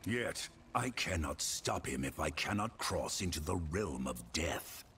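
A resolute fantasy game warrior with a deep, breathy rasp. The delivery is slow and solemn yet swings widely in pitch. Music plays behind him. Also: male voice, expressive, a bit bright, a little hiss or hum, exaggerated voice. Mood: grave, urgent. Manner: solemn, measured. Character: grim, resolute adult male warrior hunting an enemy. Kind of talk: fantasy video game voice acting.

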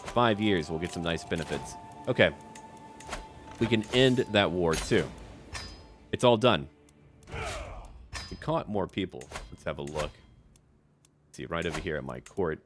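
A man's voice: deep voice, expressive, unhurried, pauses often, over music. A man hosting a casual roleplay gaming video, commenting in a chatty, offhand way as he addresses the game's characters.

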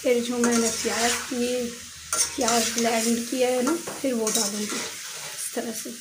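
A woman's voice: a little high, flat delivery, a little slow. A woman hosting a home cooking video, talking casually and explaining each step as she cooks at a gas stove. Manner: chatty, explains each step and says "theek hai" often. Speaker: home cooking channel host. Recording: noisy kitchen, echoey and distant.

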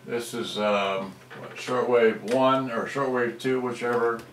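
A man's voice: medium pitch, level tone, unhurried, reverberant, very noisy. An older man giving a casual, offhand live demonstration at a workbench, rambling and thinking aloud. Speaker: presenter demonstrating a vintage cassette radio for sale. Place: indoors at a cluttered workbench.

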